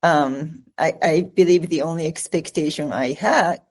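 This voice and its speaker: A middle-aged Japanese woman with a Japanese accent, answering interview questions in a slow, halting way with many fillers. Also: low-pitched, darkish tone. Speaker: guest.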